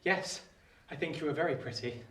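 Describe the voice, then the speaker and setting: A woman's voice: sits low, a little fast, fairly bright tone. A woman acting a shy, polite young working-class boy in a radio play, speaking meekly and hesitantly. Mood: shy, careful. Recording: distant echoey room with noise.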